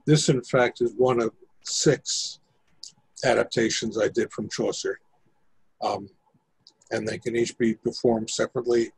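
An older man, a playwright explaining his work in halting, precise, measured phrases on a video call. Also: medium pitch, darkish tone, husky, low-quality recording. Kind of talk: considered explanation in discussion.

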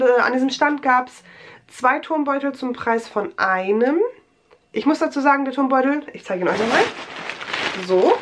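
A woman's voice: sits high, background noise. Chatty young woman with a cold. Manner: chatty, rambling, many fillers and restarts. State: has a cold. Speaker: vlogger. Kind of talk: casual show-and-tell vlog.

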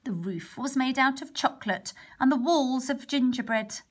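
A woman reading a picture book aloud to young children, expressive, with chatty exclamations and questions along the way. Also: high-pitched, normal speed. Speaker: primary teacher reading a storybook to children. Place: indoors, picture book held over a wooden table.

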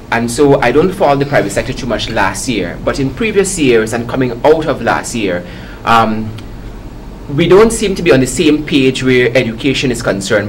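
A young man answering formally as a student leader on a panel, in a measured, diplomatic way. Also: mid-range voice, slight background noise, low-quality recording.

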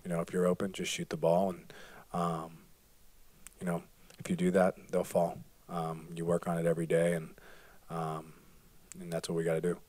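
A man in his thirties, a basketball player answering postgame questions at a press conference, soft-spoken and full of fillers. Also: low-pitched, completely flat delivery, normal speed, dry recording. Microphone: table microphone.

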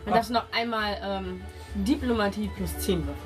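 A woman's voice: mid-range voice, brisk. A woman narrating a tabletop game as game master, improvising as she goes. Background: music.

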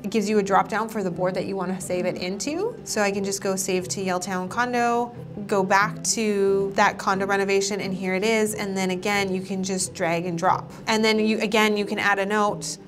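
An upbeat woman in her thirties hosts a casual vlog. Her voice sits at a middling pitch and she stresses her words, with music playing clearly behind her. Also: fairly even intonation, normal speed, hardly any pauses. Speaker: vlog host and interior designer.

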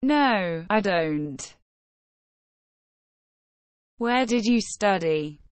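A woman reading short English phrases for learners, slow and careful, evenly spaced. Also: medium pitch, expressive, dry recording.

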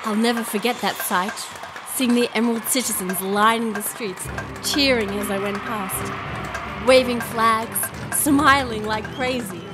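A woman plays a confident, breezily bossy young princess in a fantasy audio drama. Her voice is fairly high and spirited, with music underneath. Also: unhurried, lightly acted. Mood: narrating. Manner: breezy, commanding. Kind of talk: audio drama acting and first-person narration.

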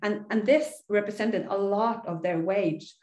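Norwegian-accented woman, middle-aged, university lecturer, earnest explanatory delivery full of "uh"s, mid pitch, webcam mic in a roomy room. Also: measured pace, darkish tone. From Norway. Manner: earnest, explanatory, frequent "uh" fillers. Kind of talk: recorded online lecture over slides. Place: small indoor room.

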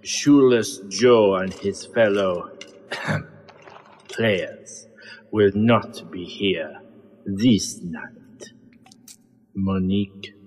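An actor playing an ancient vampire lord in an audio drama, grandiose, menacing and cruelly amused. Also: male voice, fairly low pitch, darkish tone, breathy edge, full character voice. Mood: amused menace. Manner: slow, grandiose, savoring each phrase. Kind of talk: audio drama villain acting. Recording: long cavernous reverb with music.

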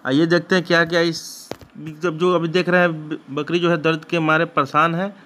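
Man, goat farmer vlogger, rambling casual narration, slightly high voice. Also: little pitch movement, moderate pace, pushed and bright. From Bihar, India. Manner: rambling, repetitive, frequent restarts. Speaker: goat farmer and channel host. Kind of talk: casual narration over farm footage. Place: goat shed on a farm.

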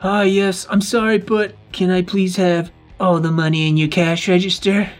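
A man's voice: high voice, flat delivery, normal speed, faint music behind, lightly acted. A man acting a scheming but very polite, apologetic male robber in a game roleplay. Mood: politely menacing.

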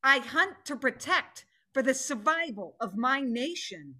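A middle-aged woman playing a fierce, determined tiger in a dramatic play reading, speaking deliberately and with command. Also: sits high, lively intonation, sharp tone, exaggerated voice. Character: fierce female tiger, stern, determined hunter. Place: home room, video call.

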